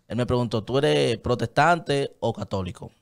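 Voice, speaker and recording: A man with a Dominican Spanish accent, in his thirties, talking earnestly and gesturing as he makes his point. He is close on a studio desk microphone in a small padded booth, with a voice of middling pitch. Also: normal speed, gravelly. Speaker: guest. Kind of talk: casual studio chat. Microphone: studio mic on a desk stand, headphones on.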